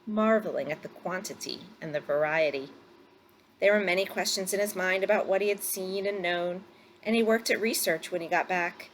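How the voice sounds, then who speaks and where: A woman narrating a story aloud from a script, phrasing it deliberately for drama. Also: on the low side, a bit bright, a little hiss or hum, rough recording.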